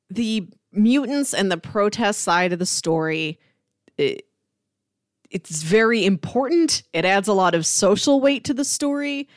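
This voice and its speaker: A woman's voice: medium pitch, no echo, clean studio sound. A woman co-hosting a podcast, giving her views in casual conversation. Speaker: podcast co-host reviewing an audio drama. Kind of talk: casual discussion.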